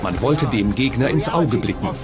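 A man's voice: medium pitch, lively intonation, moderate pace, over loud music. A man giving a documentary voice-over of explanations from interviewed experts.

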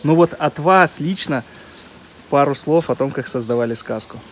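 A man's voice: on the high side, moderate pace, a little hiss or hum. A man hosting an on-location interview for a channel, speaking outdoors from behind the camera. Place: outdoors at a park, street-side.

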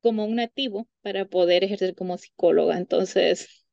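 A woman's voice: on the low side, moderate pace, creaky, a little mellow, no echo, dull audio. Woman, 30s, psychologist guest, casual chat, video call webcam, dry close sound. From Honduras. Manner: chatty, many fillers and restarts, laughs often. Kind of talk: casual interview conversation. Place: home room by a window.

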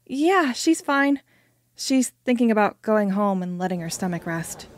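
A woman's voice: medium pitch, expressive, a little slow, natural voice. A young woman playing a sociable, insistent friend in an amateur audio drama. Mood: casual.

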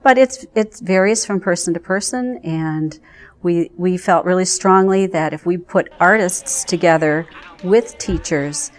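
A middle-aged American woman speaking conversationally in an interview, thoughtful and halting in her phrasing. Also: fairly low pitch. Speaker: arts education program representative. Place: indoors in front of a curtain.

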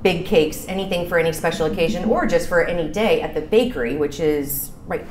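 A woman's voice: lowish voice, brisk, darkish tone. A woman in her thirties hosting a casual promotional chat, talking in a chatty, enthusiastic way. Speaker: show host. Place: small bar room, indoors. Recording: roomy echo, some room noise.

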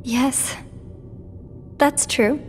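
Mysterious gentle girl, high soft voice, unhurried, game cutscene, reverberant. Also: steady tone. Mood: wistful. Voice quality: soft, light, girlish. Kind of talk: video game cutscene acting.

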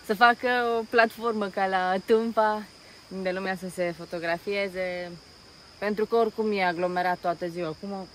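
Woman vlogging over outdoor noise. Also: on the low side. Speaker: travel vlogger. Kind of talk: casual travel vlog chat. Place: outdoors near a jungle railway bridge. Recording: noisy outdoor recording with insects, traffic.

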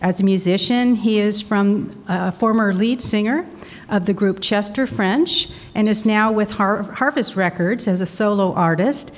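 A woman's voice: mid-range voice, normal speed. A middle-aged woman hosting an event, giving a cheerful welcome speech at a podium in a large hall. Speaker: host introducing speakers. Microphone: podium mic.

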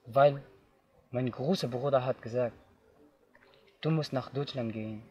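A young man from Afghanistan, telling his own story outdoors in simple, halting learner's German. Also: medium pitch, dark tone, husky. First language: not German. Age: young adult. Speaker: interviewee. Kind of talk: personal testimony. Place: outdoors in tall grass.

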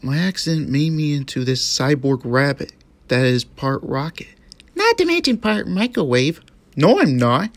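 A woman voicing a gruff, self-conscious male cyborg rabbit uncle in an amateur cartoon, terse and reluctant. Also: low-pitched, normal speed, no echo, pitched below the performer's own voice. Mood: reluctant, glum. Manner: terse, reluctant, flat-toned.

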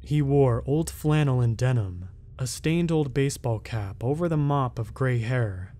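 A man reads a horror tale in the first person, slow and measured. His voice is mellow and dark-toned, sitting at an ordinary pitch. The reading is dramatic but steady. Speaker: horror story narrator.

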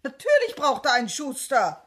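A middle-aged actress playing a domineering, nagging mother in an audio drama, with a breathy, husky voice. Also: high-pitched, huge pitch swings, moderate pace.

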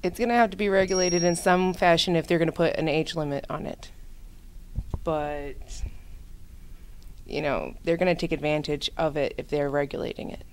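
A woman's voice: sits low, fairly even intonation, moderate pace, a little hiss or hum. A woman in her twenties giving casual opinions into a handheld interview microphone indoors. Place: indoor room with brick wall. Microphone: handheld microphone held out by interviewer.

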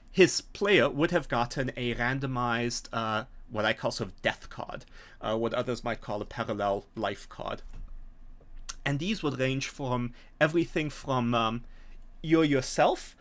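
A middle-aged man, explaining a tabletop scenario as game master in an animated way. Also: medium pitch. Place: small home room, on a video call.

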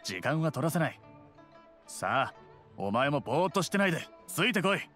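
An actor playing a stern, dutiful young city guard in a Japanese video game, speaking firmly and officially. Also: male voice, a little high, sing-song, brisk, a bit bright, faint music behind. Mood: businesslike. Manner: official, firm.

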